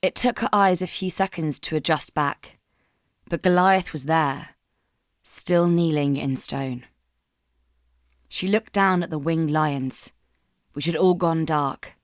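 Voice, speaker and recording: A woman with a low voice reads a dramatic story from a script. Her telling is measured and solemn, and the sound is very dry and close. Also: dry recording. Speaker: fan fiction narrator.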